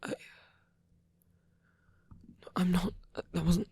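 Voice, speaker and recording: A performer voicing a gentle, shy, protective male vampire priest in an intimate ASMR roleplay, soft and breathy, speaking in hesitant, tender phrases. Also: mid-range voice, completely flat delivery, drawn-out words, full character voice. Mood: nervous, apologetic.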